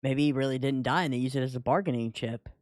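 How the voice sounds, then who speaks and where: A man's voice: mid-range voice, quick pace, no echo, clean studio sound. A man co-hosting a streamed podcast, chatting informally and with some slang. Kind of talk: casual chat, greeting stream viewers.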